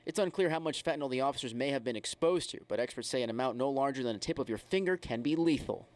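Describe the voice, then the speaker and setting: A man's voice: mid-range voice, fast. A man reporting live for TV news with a polished, emphatic delivery. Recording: faint outdoor street and traffic noise.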